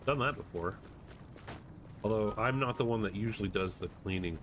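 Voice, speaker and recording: Low-voiced man streaming a game over noise. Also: unhurried, a bit bright. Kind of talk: casual chat while gaming. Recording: clear background noise and game ambience.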